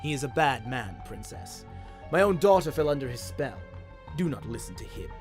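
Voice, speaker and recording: A man's voice: medium pitch, lively intonation, normal speed, soft background music, natural voice. Man playing a growling, vengeful old king. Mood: stern. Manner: growling, imperious.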